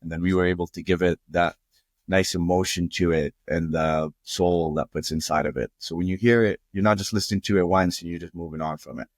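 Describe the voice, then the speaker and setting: A man's voice: low voice, fairly even intonation, fairly quick, no echo, studio quality. A young man from Jordan, a music producer guest, earnestly walking through his process in a casual interview.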